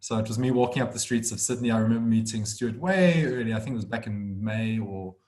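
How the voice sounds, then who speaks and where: South African man, low voice. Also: quick pace, a little mellow. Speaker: accounting firm director. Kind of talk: webinar conversation.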